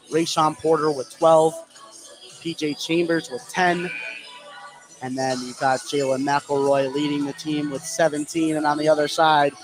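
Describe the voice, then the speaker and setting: A man with a fairly high voice calls a high school basketball game for radio. He moves between play-by-play and chatty banter with his partner. Music plays clearly behind him. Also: rough recording. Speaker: play-by-play sports commentator.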